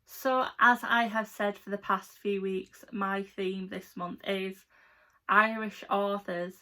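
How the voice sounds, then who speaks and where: A woman's voice: medium pitch, steady tone, slow, bright, forceful tone. A young adult woman with an English accent, chatting casually and informally to viewers as a book vlogger, rambling a little. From England. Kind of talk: casual chat to camera.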